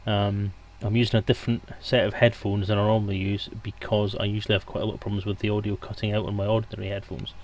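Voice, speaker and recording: A man's voice: lowish voice, level tone, quick pace, hardly any pauses, a little hiss or hum. Casual, rambling male livestream host. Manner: informal, joking, digressive. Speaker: live stream host. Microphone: headset microphone.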